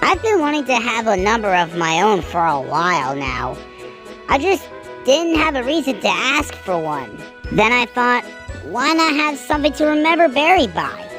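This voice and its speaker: A woman playing a kindly, caring steam engine in a children's story, speaking earnestly and haltingly with emotion. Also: on the high side, sing-song, poor audio. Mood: hopeful, wistful. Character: kindly female tank-engine character, grieving, earnest and caring. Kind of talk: children's story audio drama. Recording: music behind the voice.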